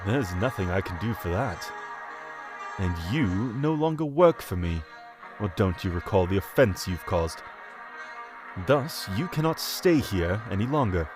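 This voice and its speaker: Man playing a haughty, swooping-voiced scornful Archbishop. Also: deep voice. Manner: pompous, scornful, formal archaic diction. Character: haughty, contemptuous Archbishop, an angry employer berating his servant. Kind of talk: period audio drama acting. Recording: loud music behind the voice.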